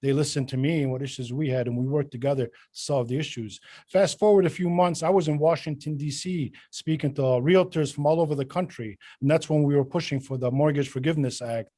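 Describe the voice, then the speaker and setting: A mature man making an emphatic, self-promoting campaign pitch as a candidate at a forum. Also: fairly quick, warm tone, no echo. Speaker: mayoral candidate, realtor.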